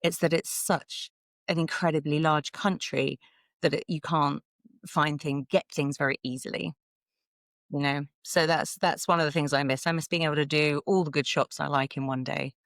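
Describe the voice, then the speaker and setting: A woman's voice: low-pitched, steady tone, normal speed, fairly bright tone, no room sound, clean studio sound. A woman with a southern English accent, talking casually and chattily as a podcast guest, with some self-deprecation. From south of England, London suburbs. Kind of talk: casual conversational interview.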